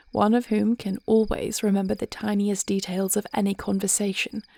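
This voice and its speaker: Woman acting a creaky-voiced, thoughtful fantasy dragon queen. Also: mid-range voice, a little mellow. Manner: measured, reflective, tender, formal phrasing. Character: thoughtful, devoted dragon queen wife planning the future. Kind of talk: intimate fantasy audio roleplay acting.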